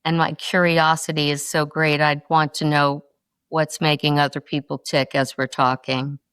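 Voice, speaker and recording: A middle-aged woman from North Carolina, a podcast guest answering interview questions in quick, expressive stories. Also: sits low, smooth, no room sound.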